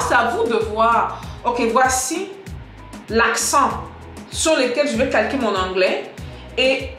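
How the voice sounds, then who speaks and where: A woman giving a casual spoken lesson to camera, lively and direct, talking straight to her viewers. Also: high-pitched. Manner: lively, direct, addresses viewers as "les gars"; switches into English example sentences. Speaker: English teacher on a video channel. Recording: echoey room with faint music.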